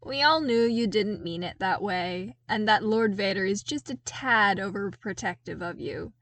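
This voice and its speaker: A woman's voice: medium pitch, normal speed, fairly bright tone, no echo, close to an everyday voice. A woman voicing a frank, teasing, loyal male soldier in an audiobook, wry and familiar but deferential. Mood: reassuring. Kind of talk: audiobook character dialogue.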